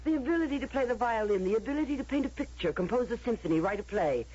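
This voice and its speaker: An actress playing a stern, coldly persuasive authority figure, lecturing in a deliberate, declamatory way in an old-time radio drama. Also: female voice, a little high, animated, warm tone, breathy edge. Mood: insistent, persuasive. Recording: dull, lo-fi radio drama recording.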